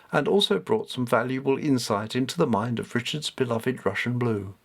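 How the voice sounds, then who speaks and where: A man reads a storybook as its author, in a medium-pitched voice. His scripted narration is expressive, with very varied intonation.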